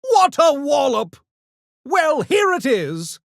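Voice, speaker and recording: Pompous genteel gremlin for a game: unhurried, theatrical, extremely swooping intonation, mischievous politeness. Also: male voice, very high-pitched, wildly swooping pitch, gravelly, dry recording, studio quality, heavily acted. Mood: playful, mischievous. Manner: posh, pompous, theatrical, mock-polite diction. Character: urbane genteel mischievous gremlin, a genetically engineered intellectual creature. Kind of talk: video game character voice lines.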